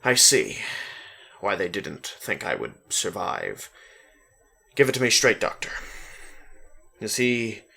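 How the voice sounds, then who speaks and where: A man's voice: on the low side, huge pitch swings, slow, gravelly, long pauses, sharp tone, slightly rough, exaggerated voice. A man voicing a wary, easily frustrated male patient who stammers when shaken, in a dramatic story reading. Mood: shaken, urgent.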